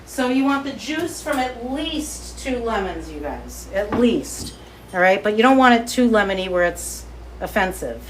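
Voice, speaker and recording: A woman with a light Boston accent hosting a live cooking demo from her kitchen, chatty and excitable as she talks to viewers. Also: fairly high pitch, unhurried, slight background noise, rough recording. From Boston area. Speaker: cooking show host. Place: home kitchen, indoors.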